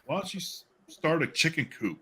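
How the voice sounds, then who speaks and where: Bright, forceful man hosting a livestream. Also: a little high, big pitch swings, fairly quick, husky. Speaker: livestream host. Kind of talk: casual livestream chat. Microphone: video call stream.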